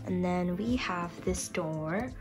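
A woman's voice: fairly low pitch, slow. A young woman from Indonesia chatting casually and with youthful, bubbly informality as she films a room-tour vlog. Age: young adult. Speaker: vlogger. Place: small furnished dorm rooms and bathroom.

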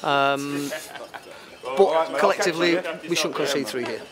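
A man in his fifties giving an unscripted post-match football interview, matter-of-fact and halting, repeating phrases as he goes. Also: fairly high pitch, over a noisy crowd. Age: 50s. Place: outdoors at a football ground at night. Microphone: handheld interview microphone. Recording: clear outdoor background noise.

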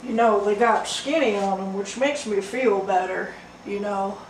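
A woman in her thirties hosting a comedic home cooking show, rambling in a deadpan way and laughing often, filmed in a small kitchen on a camera mic. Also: mid-range voice, measured pace. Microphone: camera mic from across the room. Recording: roomy, distant, noisy home recording.

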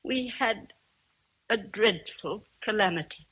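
A woman's voice: mid-range voice, takes it slowly, fairly bright tone, breathy edge, low-quality recording. An actress with an English accent playing a kindly, motherly old housekeeper in a radio drama, tender and grave. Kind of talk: BBC radio drama acting.

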